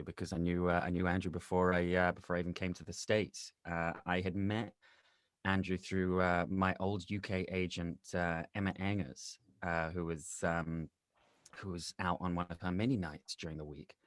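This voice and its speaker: A man reminiscing casually as an interview guest, haltingly searching for his words. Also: low-pitched, a bit bright, clean tone, dry recording, studio quality. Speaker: podcast guest, actor and producer.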